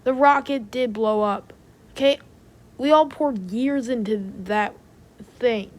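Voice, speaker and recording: A fairly high voice plays a stressed rocket company staffer, acted by a woman. The delivery is naturalistic amateur audio drama, exasperated and somewhat hesitant. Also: slow. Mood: defeated, bitter.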